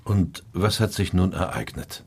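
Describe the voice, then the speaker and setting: An actor in a German radio drama playing a calm, modest doctor who asks probing questions in a husky voice. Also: male voice, sits low, measured pace, heavily acted. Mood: concerned, probing.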